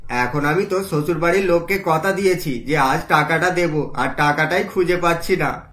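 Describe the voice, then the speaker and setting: Worried middle-class father: fatherly, lowish, echoing, music underneath. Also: normal speed, fairly bright tone. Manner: earnest, fatherly. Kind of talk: cartoon voice acting. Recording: roomy echo, music behind.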